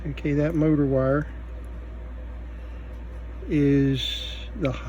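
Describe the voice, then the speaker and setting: A man giving unscripted hands-on repair commentary, halting and thinking aloud as he works. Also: a little high, level tone, warm tone, dull audio. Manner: halting, muttering thoughts aloud while working. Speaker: vintage electronics repair video host. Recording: faint background noise.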